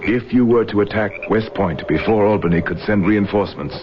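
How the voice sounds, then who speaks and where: An actor playing a proud, resentful, scheming American general in an old-time radio drama, self-assured and commanding. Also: male voice, on the low side, brisk, lightly acted. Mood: scheming, businesslike. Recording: muffled, noisy old radio broadcast.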